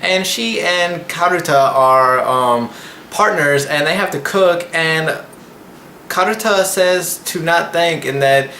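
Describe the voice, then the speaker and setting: A man in his twenties gives a casual, slangy vlog recap. His voice sits a little high and is bright and forward. The room is echoey, and he rambles loosely through his review. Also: slow pace. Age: 20s. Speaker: anime reviewer and channel host. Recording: echoey room with faint background noise.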